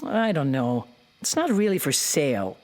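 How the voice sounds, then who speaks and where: A woman's voice: low voice, sing-song, normal speed, darkish tone. An actress playing a polite, slightly hesitant woman in audio drama dialogue.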